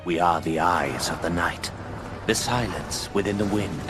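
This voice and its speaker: An actor playing a wise older male owl mentor in a video game, speaking in a measured, commanding way. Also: low-pitched. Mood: solemn. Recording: game audio with music behind.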